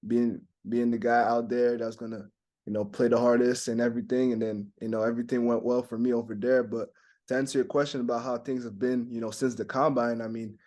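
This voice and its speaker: Young man from Montreal, French his first language; flat, even delivery, stop-start phrasing full of fillers. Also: medium pitch. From Montreal, Canada. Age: young adult. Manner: halting, many "you know" fillers and restarts. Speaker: basketball draft prospect. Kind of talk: casual interview answers.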